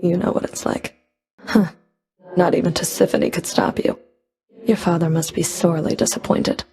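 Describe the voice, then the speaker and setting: A woman's voice: lowish voice, steady tone, normal speed, darkish tone, lightly acted. A woman playing a stern, scornful Fury of the underworld in a video game, speaking in a cool, clipped, disdainful manner. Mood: guarded, stern. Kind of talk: video game combat and dialogue voice lines.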